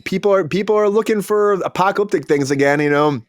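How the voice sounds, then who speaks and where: A man hosting a casual online panel show from a home studio, easygoing and quick to laugh. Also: sits high, dry recording. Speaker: host.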